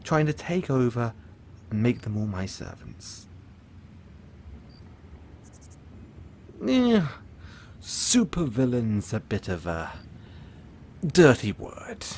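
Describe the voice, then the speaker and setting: A man's voice: on the low side, darkish tone, slight background noise, exaggerated voice. A man playing a theatrical, boastful supervillain who gets flustered and stammers, in an audio roleplay monologue. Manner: pompous, stammering, dramatic swoops and villainous chuckles.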